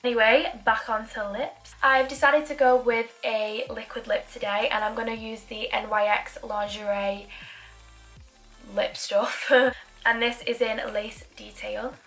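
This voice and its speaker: A chatty young woman with a Mancunian accent talks through her makeup routine, her voice sitting a touch higher than most. She keeps up a running patter of jokey, self-deprecating asides as she works, and faint music plays underneath. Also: fairly even intonation, a little slow, fairly bright tone, low-quality recording. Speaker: beauty vlogger.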